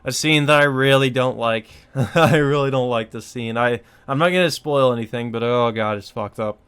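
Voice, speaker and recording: A man's voice: medium pitch, normal speed, a bit bright, clear, resonant tone. A man reading visual novel narration aloud and adding casual, informal asides, sometimes with swearing. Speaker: let's play host. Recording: music behind the voice. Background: game music.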